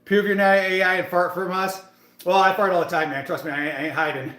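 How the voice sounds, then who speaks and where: A man's voice: high-pitched, level tone, fast, bright, forceful tone. Chatty man in his thirties, echoey gym. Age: 30s. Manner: chatty, hyped, talks to viewers in the chat. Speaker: fitness livestream host. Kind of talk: casual live-stream chat while working out.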